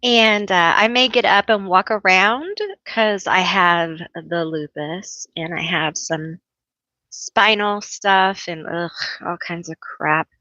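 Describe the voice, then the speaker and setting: A woman reading tarot on a relaxed live stream, with a bright, forceful voice and an unhurried pace. She chats loosely, laughs a lot and pokes fun at herself. The sound is dry and a little dull, like lo-fi stream audio. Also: fairly low pitch, dry recording. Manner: chatty, laughs often, self-teasing; calls herself loud, a yeller. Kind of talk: casual live-stream chat.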